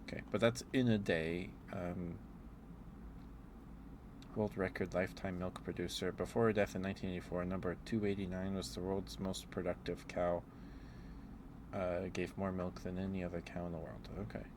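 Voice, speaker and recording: A man streaming a casual, rambling ASMR chat, speaking in a halting, musing way as if thinking aloud. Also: deep voice, flat delivery, noisy background. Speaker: livestreamer.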